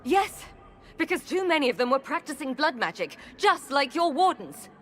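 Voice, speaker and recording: An actress playing a sardonic, combative warrior heroine in a fantasy video game, dry and sarcastic. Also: female voice, high voice, big pitch swings, normal speed, a bit bright, soft background music. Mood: heated. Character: sardonic, combative adult female warrior hero.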